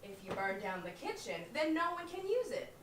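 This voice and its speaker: A young woman playing a sensible but sassy intern roommate in a comedic play, casual and expressive. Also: on the high side, normal speed. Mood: scolding. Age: young adult. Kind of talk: comedic stage acting. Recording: echoey room, distant mic, noisy background.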